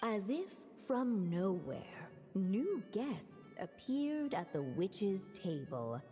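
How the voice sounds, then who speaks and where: A female storybook narrator in a video game. She speaks slowly and deliberately, with teasing theatrical poise, in a slightly low voice. Long reverb surrounds her words over clear music. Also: very animated, warm tone. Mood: arch, inviting. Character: measured, teasing female storybook narrator of a witch's fairy tale.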